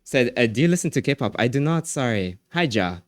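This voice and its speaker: A man streaming live, casually reading and answering chat messages, often trailing off quietly at the ends of his phrases. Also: mid-range voice, big pitch swings, fairly quick, no echo, studio quality.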